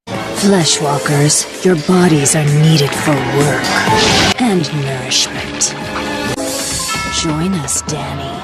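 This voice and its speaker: A woman's voice: low-pitched, slow pace, loud music behind the voice. A woman voicing a commanding, seductive plant-like monster ruler with an ominous, imperious manner. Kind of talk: dramatic creature acting.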